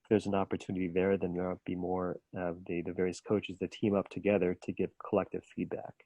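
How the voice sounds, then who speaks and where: Low-voiced guy explaining a plan, warm tone, dry recording. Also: level tone, fast talker, no room sound, low-quality recording. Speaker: business English coach. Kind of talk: casual work conversation.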